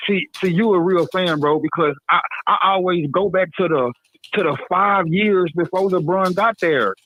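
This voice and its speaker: A male caller in casual chat, excitable, repeating and stammering over his words. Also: high voice, normal speed, no echo. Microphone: phone. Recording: tinny phone line.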